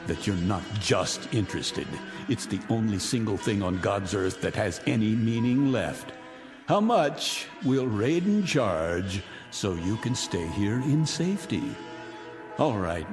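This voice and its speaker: A middle-aged actor playing a vindictive rich man taunting his guests in 1960s television drama style, mocking and grandiloquent. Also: fairly low pitch. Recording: vintage TV soundtrack, reverberant, music behind.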